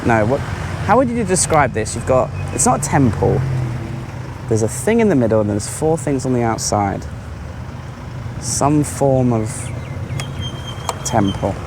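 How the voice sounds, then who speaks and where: A man's voice: medium pitch, animated, unhurried, long pauses. A man talking casually to camera as a travel vlogger, chatty and self-deprecating, recorded outdoors. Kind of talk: casual vlog narration to camera. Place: outdoors by roads and streets. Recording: heavy outdoor traffic and vehicle noise.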